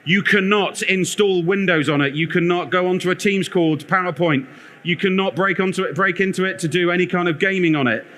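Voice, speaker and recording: A man with a loud, bright voice presents on a conference stage, pitched a little high for a man. He talks in an energetic, joking way, wandering about as he explains. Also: a little fast. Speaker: conference speaker, product lead. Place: conference theatre.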